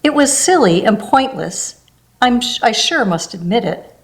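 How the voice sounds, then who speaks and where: Slow, gentle woman reading a storybook. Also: fairly high pitch, expressive. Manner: slow, gentle rhyming delivery with small spoken asides. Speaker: storybook reader. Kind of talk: reading a rhyming picture book aloud.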